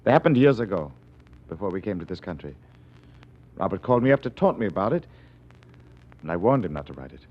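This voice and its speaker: An old, muffled radio drama recording of a bitter murderer. The low voice swings dramatically, grave and menacing, with cold regret underneath. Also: male voice, very animated, quick pace, pauses often. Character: cornered, bitter male murderer, coldly regretful. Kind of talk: 1940s radio mystery acting.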